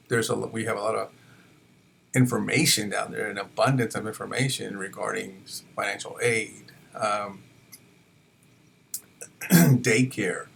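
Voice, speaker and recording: Gravelly low-voiced man, hesitant. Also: a bit bright, a little hiss or hum. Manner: hesitant, many fillers and restarts. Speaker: college faculty member. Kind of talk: reflective personal talk.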